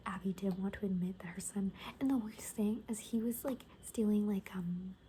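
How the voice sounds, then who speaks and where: A woman playing a gossipy, rambling zombie apocalypse survivor in a soft-spoken, whispery ASMR roleplay, talking hesitantly and conspiratorially. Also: mid-range voice, moderate pace, a little hiss or hum, natural voice. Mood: gossipy, indignant.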